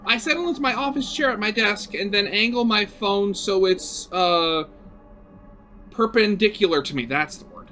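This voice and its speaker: A man's voice: high-pitched, moderate pace, sharp tone, soft background music. A man reading a visual novel aloud as a gaming video host, with casual, rambling commentary.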